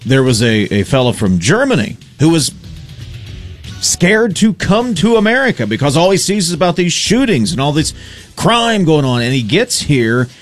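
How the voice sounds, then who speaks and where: Dry, wry radio sports guy with an animated, lively voice. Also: on the high side, soft background music. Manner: dry, wry, quick to joke. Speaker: sports reporter and columnist. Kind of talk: radio sports update and banter.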